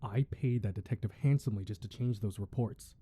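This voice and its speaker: Man playing crime boss, lowish voice, brooding, controlled, weary menace with a tender side, close ASMR roleplay drama. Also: brisk, warm tone, husky. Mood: cold, irritated. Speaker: audio roleplay voice actor.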